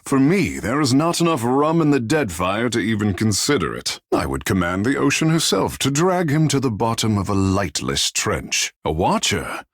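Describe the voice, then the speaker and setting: A man playing a vain, flirtatious, sea-blessed godlike artist in a video game, husky and theatrical, with florid, playful delivery. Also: medium pitch, sing-song, normal speed, few pauses, dry recording, clean studio sound. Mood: proud, dramatic, then flirtatious, teasing. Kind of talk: video game character dialogue.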